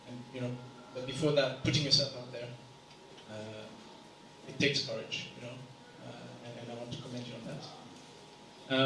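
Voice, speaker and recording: A man from Kenya asking a question from the audience at a round table, in a measured, explanatory way. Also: mid-range voice, fairly bright tone, slight background noise. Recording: echoey room, picked up from a distance.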